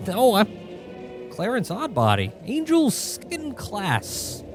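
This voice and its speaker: An excitable guardian angel played by a man, high and wildly swooping in pitch. The delivery is eager and chatty, in a parody radio play with clear music behind it. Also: slow pace, full character voice. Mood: cheerful, chatty. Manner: excitable, cheerfully crude.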